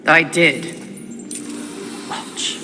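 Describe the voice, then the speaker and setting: Cold warrior woman, game cutscene, sardonic, unhurried, long reverb. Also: background noise. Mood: cool, assured. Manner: sardonic, dry, disdainful. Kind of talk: video game cutscene acting.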